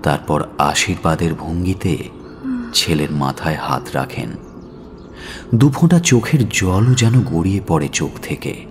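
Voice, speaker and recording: A man narrating a dramatic audio story in a solemn, measured and expressive manner. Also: low voice, slight background noise. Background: music.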